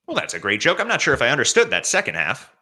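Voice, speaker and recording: Fast, lively deadpan podcast host. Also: male voice, mid-range voice. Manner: deadpan, mock-earnest host patter. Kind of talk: casual comedy podcast chat.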